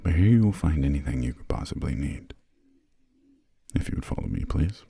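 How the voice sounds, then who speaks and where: A man playing a refined, imperious vampire lord in an ASMR roleplay, speaking in a hushed, formal, slightly haughty way with a breathy, gravelly low voice. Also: expressive, a little fast, slight background noise, exaggerated voice. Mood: stern, formal. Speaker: employer briefing a new assistant.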